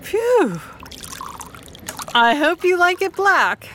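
A woman's voice: extremely high pitch, big pitch swings, very slow, fairly bright tone, slight background noise, full character voice. An actress playing a polite, proper, determined middle-aged mother in an audio drama, speaking with prim formality. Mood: prim, dry.

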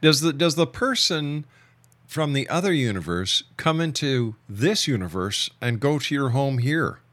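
A man's voice: mid-range voice, very animated, unhurried, fairly bright tone, dry recording. A man hosting a radio talk show, questioning his guest in a direct, skeptical way. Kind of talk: probing radio interview.

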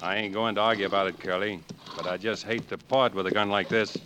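A man's voice: on the low side, fairly even intonation, quick pace, natural voice. A man playing a cool young outlaw cowboy in 1940s radio acting, laconic and understated, with an American accent. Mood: cool, resigned. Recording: noisy old 1940s radio recording.

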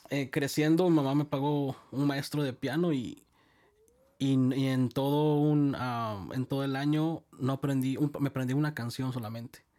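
Man from El Salvador, easygoing interview guest, storytelling with lots of restarts. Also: medium pitch. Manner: relaxed, rambling, many restarts. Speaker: guest, music school director.

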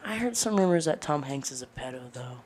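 A young woman with a deeper voice than expected, a podcast guest in casual, profane, joking chat who laughs often. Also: animated, brisk, darkish tone.

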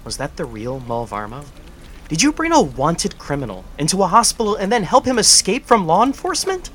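An actor playing an animated male news anchor. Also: on the high side, brisk, slight background noise. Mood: stern.

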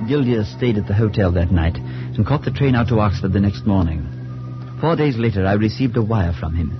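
A dull-sounding 1940s radio play carries a man acting a steady, worried friend telling an eerie tale. His voice sits low, earnest and firm, measured in the manner of old suspense drama. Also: over music, lightly acted. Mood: grave, measured. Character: steady, concerned male friend narrating a supernatural tale. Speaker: narrator and friend. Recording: muffled 1940s radio recording.

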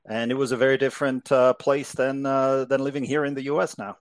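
A man's voice: medium pitch, level tone, a little fast, dry recording. A middle-aged man from Czechoslovakia, a native Czech speaker, explaining things as an interview guest. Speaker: interview guest, crypto investment executive.

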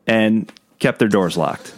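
A man's voice: lowish voice, slow, no room sound. A man hosting a podcast interview, encouraging his guest with frequent short affirmations. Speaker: podcast host.

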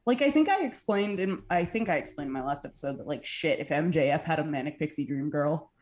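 Chatty woman in her twenties, lowish voice. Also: expressive, fast talker, a little mellow. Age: 20s. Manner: chatty, swears, trails off with fillers. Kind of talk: casual chat.

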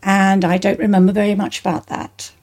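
Older English woman with a mellow voice. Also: medium pitch, flat delivery, a little fast. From Bristol, England. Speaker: interview guest, actor. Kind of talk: conversational personal reminiscence.

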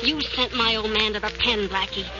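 An actress with an American accent playing a tough-talking young gangster's moll in a 1940s radio drama, scornful, slangy and sassy. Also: female voice, mid-range voice, fairly even intonation, moderate pace, lightly acted. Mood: bitter, scornful. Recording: dull old radio recording with loud music.

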